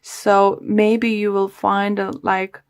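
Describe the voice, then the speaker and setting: Slow, gravelly woman losing her voice. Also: mid-range voice, level tone. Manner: unhurried, chatty, many "you know" and "um" fillers. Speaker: tarot reader. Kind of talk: casual tarot reading to viewers.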